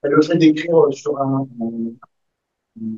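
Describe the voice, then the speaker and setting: Husky man asking a question, muffled. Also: a little high, level tone, measured pace, a little mellow, no echo. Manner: stammering, searching for words. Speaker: audience member asking a question. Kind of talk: academic discussion. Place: university conference room. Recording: dull muffled sound.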